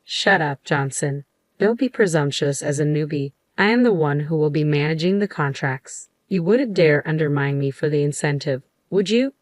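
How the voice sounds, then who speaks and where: A woman's voice: sits low, lively intonation, moderate pace, dry recording. A woman voicing an arrogant, snobbish male property salesman in a dismissive, mocking manner for a narrated story. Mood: snappish. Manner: scornful, dismissive.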